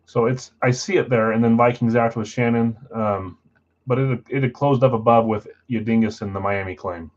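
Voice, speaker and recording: Male card break host, laid-back and plain-spoken, mid-pitched, muffled lo-fi stream audio. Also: fairly even intonation, moderate pace. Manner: self-described boring voice, low-key and matter-of-fact. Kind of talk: casual livestream chat, reading out buyers and spots.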